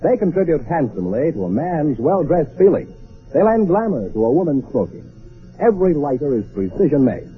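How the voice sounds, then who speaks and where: Tinny vintage American radio announcer, lively man. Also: on the high side, a little fast, breathy edge. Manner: polished salesmanlike delivery. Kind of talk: scripted 1940s radio ad and announcing. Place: radio studio. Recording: tinny narrowband vintage radio, faint music.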